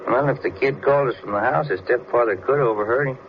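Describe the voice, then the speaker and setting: A muffled, slightly noisy old radio recording has a man acting a steady detective partner. His medium-pitched voice is polite and matter-of-fact, in plain crime drama style. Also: quick pace, natural voice.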